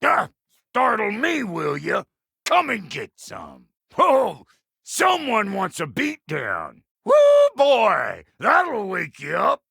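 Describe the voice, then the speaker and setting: A man voicing a gruff, foul-mouthed dwarf blacksmith in a video game, with a gravelly drawl and a brash, folksy manner. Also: high-pitched, wildly swooping pitch, takes it slowly, pushed and bright, dry recording, clean studio sound, exaggerated voice. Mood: gruff, alert. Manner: brash, folksy, cussing, barking warnings and taunts. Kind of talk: video game character voice lines.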